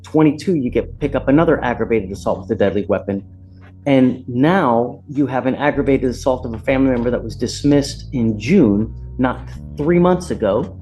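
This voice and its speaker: An American man presides as a judge, speaking in a blunt, colloquial, scolding way. His timbre is dark and relaxed at an ordinary male pitch. Light guitar music plays behind the courtroom audio. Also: moderate pace, rough recording. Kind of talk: stern courtroom address from the bench.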